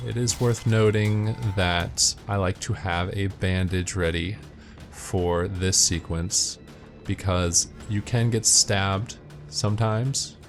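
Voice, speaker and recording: Man, very low voice, instructional gameplay commentary, matter-of-fact delivery, faint music behind. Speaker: gamer narrating a game walkthrough.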